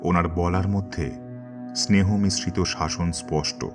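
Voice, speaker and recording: Deep-voiced male story narrator. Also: steady tone, music in the background. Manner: measured, dramatic storytelling.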